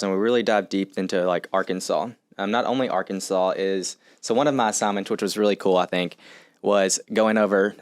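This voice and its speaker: An American man with a smooth, clear voice on the low side explains things informally as an instructor. His phrasing is loose and rambling, like relaxed conversation. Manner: loose, rambling phrasing with frequent "like" and "you know". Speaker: university real estate instructor, podcast guest.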